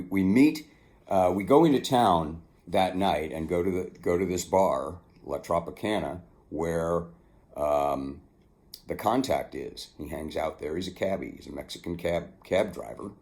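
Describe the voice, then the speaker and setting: An older man with a low voice tells his own story in an unhurried, somewhat halting way. His tone is dark and relaxed, like someone reading his memoir aloud. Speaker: author narrating own memoir. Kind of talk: casual storytelling, then reading aloud from a book.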